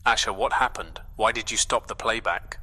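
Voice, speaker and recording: A man acts a curt, commanding figure in an audio drama. He sounds suspicious, with a fairly low voice and a very sharp, forceful tone. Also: normal speed, husky, soft background music, full character voice. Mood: suspicious, irritated.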